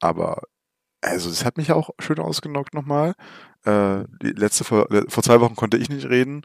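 A man's voice: medium pitch, animated, normal speed, creaky, dry recording. A man with a slightly nasal voice from a lingering cold, chatting casually as a podcast host on a close microphone. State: getting over a cold. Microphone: close USB or headset microphone.

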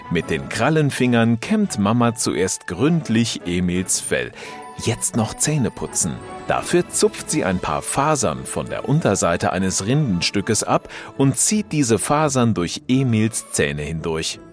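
A man narrating a children's story expressively, full of wonder and speaking directly to young listeners. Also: on the low side.

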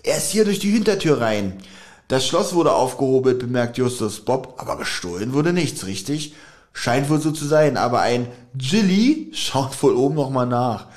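A man's voice: mid-range voice, big pitch swings, normal speed, a little mellow. A man co-hosting a casual podcast, laughing often as he retells and jokes about a radio play episode. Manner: giggly, joking, retells the plot. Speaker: podcast co-host.

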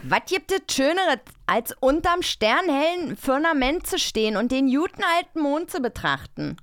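A woman's voice: sits high, very animated, normal speed, fairly bright tone. A woman voicing a dreamy cartoon elephant from a children's radio play with a Berlin accent. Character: dreamy talking cartoon elephant in love, read in Berlin dialect. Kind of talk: playful cold reading of a children's radio play.

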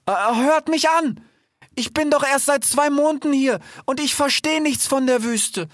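A man's voice: very high-pitched, unhurried, fairly bright tone, dry recording, clean studio sound, voice pushed up. A man voicing a small, earnest, hesitant animal in a storybook, stammering and ending sentences with questioning tags. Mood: apologetic, hesitant.

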